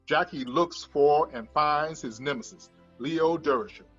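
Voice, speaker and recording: A man's voice: fairly high pitch, a little slow, a bit bright, highs cut off, faint music behind. A man narrating scripted stage directions at a screenplay table read.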